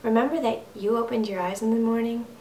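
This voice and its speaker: A woman's voice: on the high side, steady tone, quick pace, dark tone. A young adult woman performing a slam poem in an earnest, emphatic, rhythmic style. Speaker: slam poet. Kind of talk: slam poetry recitation. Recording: roomy, distant mic, faint noise.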